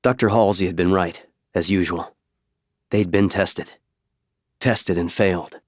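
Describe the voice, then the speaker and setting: A man narrates an audiobook in a fairly low voice. The scripted fiction reading is recorded close and very dry. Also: steady tone, unhurried, no echo.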